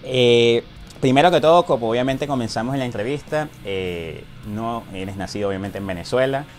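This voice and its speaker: A man's voice: mid-range voice, moderate pace, soft background music. A man hosting a casual interview, talking at length and leaning on filler words. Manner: rambling, leans on filler words. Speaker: interviewer, host.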